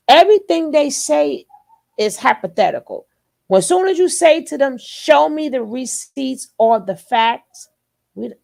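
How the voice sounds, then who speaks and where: Lively sassy woman with gravelly voice. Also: fairly high pitch. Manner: sassy, laughing asides. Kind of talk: reaction commentary.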